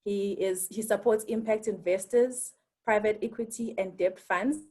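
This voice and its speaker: A South African woman opens an online webinar, speaking in a measured, formal way from prepared notes. Her voice sits in a middle register, and she adds the odd filler as she reads. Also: mid-range voice, fairly even intonation, a little mellow. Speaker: webinar moderator. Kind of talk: formal scripted introduction.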